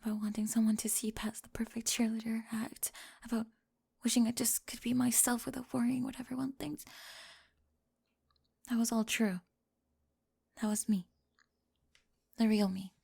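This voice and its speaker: A woman playing a remorseful, lovestruck high school cheerleader in an ASMR roleplay, breathy and hesitant, often dropping to a whisper. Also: fairly even intonation, moderate pace, pauses often, dark tone. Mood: remorseful, vulnerable. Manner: hesitant, emotional, confessional. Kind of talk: ASMR romantic roleplay monologue.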